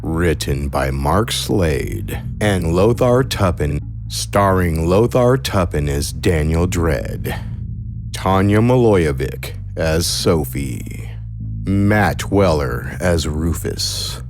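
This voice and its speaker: Male announcer for scripted drama credits: deep register, very unhurried reading, music underneath. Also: animated.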